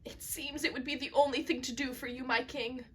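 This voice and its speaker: A woman's voice: sits high, flat delivery, a little fast, breathy edge. A woman playing a formal, dutiful, slightly wry male courtier in an amateur puppet show. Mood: solemn, wry.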